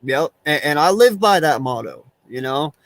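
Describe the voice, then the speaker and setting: A man's voice: fairly high pitch, big pitch swings, moderate pace, a bit bright, no room sound. A man hosting a casual late-night fan stream, laid-back and earnest, swearing casually. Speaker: stream host. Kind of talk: casual chat.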